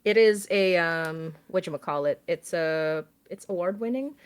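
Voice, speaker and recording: A woman chatting casually on a livestream, animated and giggly, with geeky enthusiasm. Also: fairly low pitch, moderate pace, clear, resonant tone, no echo. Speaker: guest on a fundraising livestream.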